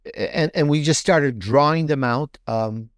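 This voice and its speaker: A man being interviewed as an author, explaining his storytelling off the cuff in a halting way, repeating words as he searches for phrasing. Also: a little high, no echo, clean studio sound. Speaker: interview guest, fiction author.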